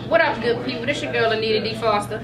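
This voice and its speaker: A woman's voice: on the high side, quick pace. A woman giving an event promo indoors to a camera some way off. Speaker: playwright, producer. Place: indoors against a plain wall. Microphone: far camera. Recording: distant, echoey, background chatter.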